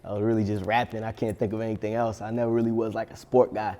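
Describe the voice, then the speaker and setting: A man's voice: lowish voice, little pitch movement, quick pace, warm tone, in a large hall. A nineteen-year-old man from Long Island with a slight New York accent, talking casually and slangily as a guest in an interview. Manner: laid-back, slangy, laughs often. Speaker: rapper guest.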